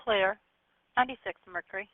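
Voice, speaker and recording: Fast, high-pitched male dispatcher on police radio. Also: no room sound. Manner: brisk procedural phrasing. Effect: two-way radio. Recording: tinny, narrow police radio band.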